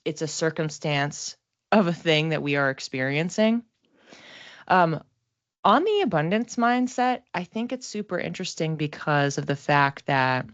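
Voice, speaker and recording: Casting: female tarot reader, low register, chatty and halting with a giggly streak, slightly muffled lo-fi recording. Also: no echo. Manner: giggly, informal, halting and reflective. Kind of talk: casual tarot reading monologue.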